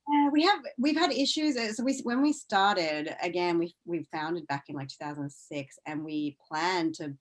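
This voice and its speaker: A woman's voice: lowish voice, normal speed, few pauses, poor audio. An Australian woman giving casual, informal interview answers, chatty and often restarting her sentences with plenty of "like". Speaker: interview guest, science news CEO.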